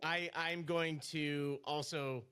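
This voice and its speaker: A man's voice: fairly high pitch, fairly even intonation, slow, sharp tone, clean tone. Teasing, rambling podcast co-host man. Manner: teasing, rambling with many restarts. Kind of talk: casual podcast chat.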